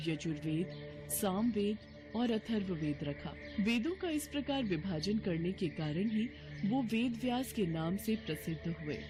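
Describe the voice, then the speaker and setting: A woman narrating a scripted devotional story as a storyteller. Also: mid-range voice. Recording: music bed behind voice.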